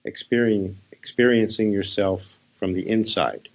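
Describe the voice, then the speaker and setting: A man speaking as a spiritual teacher, leading a guided meditation in short, unhurried phrases with pauses. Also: lowish voice. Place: live session.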